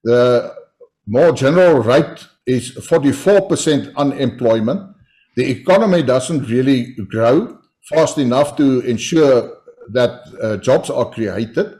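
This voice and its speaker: A man with a South African accent, Afrikaans his first language, answering interview questions in a measured way with frequent hesitations. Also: mid-range voice, animated, warm tone. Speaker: politician guest.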